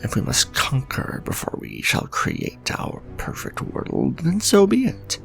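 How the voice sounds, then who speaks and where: Slow, creaky-voiced vampire lord played by a man. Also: fairly high pitch, huge pitch swings, faint music behind, full character voice. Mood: imperious conviction. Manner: formal, archaic, grandiose, dramatic deliberate phrasing. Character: proud ancient vampire lord, courtly, menacing, devoted lover. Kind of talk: gothic romantic ASMR roleplay monologue.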